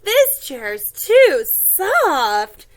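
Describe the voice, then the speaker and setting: A woman's voice: high voice, drawn-out words, sharp tone, full character voice. A woman voicing a fussy, curious girl in a children's storybook, stretching her words out in an exaggerated way. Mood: complaining.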